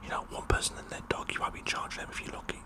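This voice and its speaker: A man with a British accent whispering a rambling, tongue-in-cheek ASMR monologue in a breathy voice, often repeating words for effect. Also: rapid-fire. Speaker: ASMR performer.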